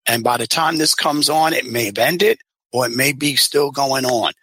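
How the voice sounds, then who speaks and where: A man's voice: mid-range voice, normal speed, bright, forceful tone, breathy edge, dry recording. A man hosting a community podcast, welcoming and questioning a guest in a courteous way with small restarts. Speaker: podcast host.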